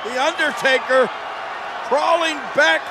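Very high, excitable male wrestling commentator. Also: measured pace. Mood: astonished. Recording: loud crowd, very noisy game audio. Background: roaring arena crowd.

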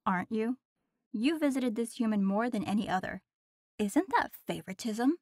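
A woman's voice: on the high side, animated, normal speed, a little mellow, no room sound. A woman playing a sardonic, cocky female demon with a dry, teasing manner in an audio roleplay. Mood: needling.

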